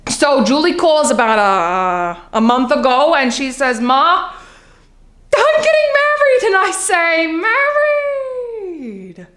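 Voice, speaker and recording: High-voiced, chatty housewife, acted by a woman, echoey room. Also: expressive, unhurried, hardly any pauses, a bit bright. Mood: chatty, wry. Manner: confiding, rambling, hesitant asides. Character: chatty middle-aged Long Island housewife, candid, nervously well-meaning mother. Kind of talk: theatrical comic monologue, storytelling to a listener.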